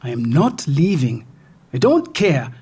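A narrator voicing a distraught, defiant pregnant young woman whose lines jump to sharp, high outbursts. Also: big pitch swings, unhurried, husky, exaggerated voice. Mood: bitter.

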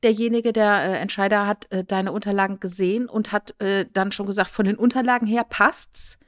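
A woman's voice: medium pitch, fairly even intonation, a little fast, no echo, studio quality. A woman discussing casually as a podcast co-host, making her points emphatically with rhetorical questions.

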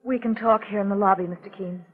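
A woman's voice: medium pitch, steady tone, fast, faint music behind, lightly acted. Woman acting an anxious heroine, tinny old radio. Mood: polite, uneasy. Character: anxious but brave young female client in danger. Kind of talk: 1940s radio melodrama acting. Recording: tinny vintage 1940s radio recording.

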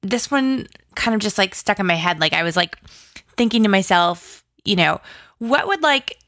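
A woman's voice: mid-range voice, animated, moderate pace, fairly bright tone, no echo, clean studio sound. A woman speaking as an interview guest in casual, informal conversation, with slangy phrasing full of "like". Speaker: interview guest, audio drama writer.

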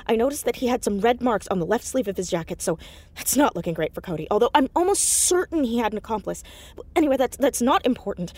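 A woman's voice: on the high side, a little mellow. A young woman playing an earnest, stubborn, bookish teenage girl detective in an audio drama, wordy and stammering when anxious. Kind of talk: naturalistic audio drama acting.

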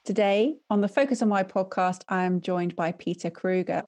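A woman's voice: mid-range voice, moderate pace, darkish tone, no room sound. A woman hosting a podcast, delivering a polished, upbeat scripted intro and then asking her guest questions. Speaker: podcast host.

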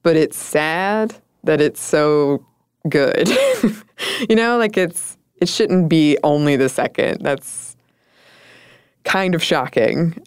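A woman co-hosting a conversational podcast, talking through the news with a chatty, thoughtful manner and frequent laughs. Her voice is smooth and resonant and sits a little low. The sound is close and dry with no room at all. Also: no echo. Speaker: podcast co-host.

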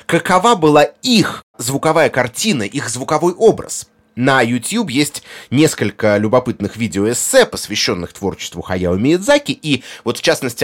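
A man giving an explanatory lecture in an expressive, erudite way, with rhetorical questions and asides. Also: medium pitch. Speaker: lecturer on film music. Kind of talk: semi-scripted explanatory narration on music and film.

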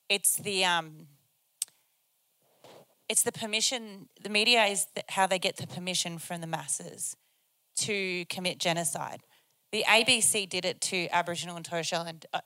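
Aussie woman on a panel, bright sharp voice, fired up, keeps restarting sentences. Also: fairly low pitch. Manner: passionate, searching for words, restarting sentences. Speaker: panel speaker. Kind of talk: speaking at a community forum.

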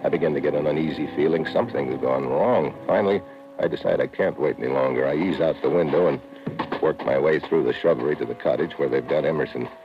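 An actor playing a tough, wry American secret agent in a 1950s radio drama, with a gruff, clipped delivery. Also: male voice, rumbling low, quick pace. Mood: tense narrating. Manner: clipped, wisecracking. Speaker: lead actor and first-person narrator. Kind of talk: 1950s radio drama acting, hard-boiled narration. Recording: muffled old radio broadcast with music.

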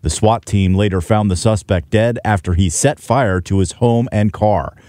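Low, mellow male news reporter. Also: normal speed, no echo, studio quality.

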